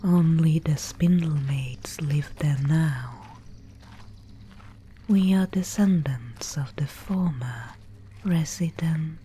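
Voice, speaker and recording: A woman voicing a mysterious, solemn Spindlemaid guide in a whispered ASMR dark-fantasy roleplay, with breathy, incantatory, archaic speech. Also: deep voice, a little hiss or hum. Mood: calm, ominous. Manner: slow, incantatory, archaic phrasing.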